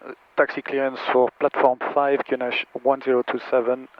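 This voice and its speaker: A man's voice: mid-range voice, completely flat delivery, moderate pace, dry recording. A man who is a student pilot, practising radio calls to air traffic control in a tentative manner. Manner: tentative, checking with short questions. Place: small training aircraft cockpit. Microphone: aviation headset. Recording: muffled aircraft radio intercom.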